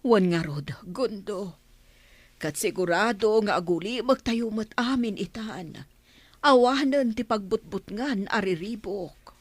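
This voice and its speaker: A woman's voice: mid-range voice, a little slow, dry recording, natural voice. A woman playing a sorrowful, principled chieftain's daughter in a radio drama, speaking earnestly. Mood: calm.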